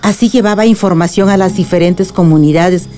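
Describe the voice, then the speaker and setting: A woman's voice: on the low side, fairly quick, dark tone, close to an everyday voice. A woman playing a wise, tender old village grandmother in a radio drama, telling stories consolingly with a Mexican accent. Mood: solemn, reminiscing. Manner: consoling, storytelling.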